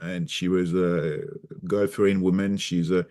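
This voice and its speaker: A man raised in Cameroon, a native French speaker with a mixed French and Cameroonian accent, talking in a casual interview with many pauses and restarts. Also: low-pitched, flat delivery, mellow. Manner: hesitant, many fillers and restarts. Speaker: guest.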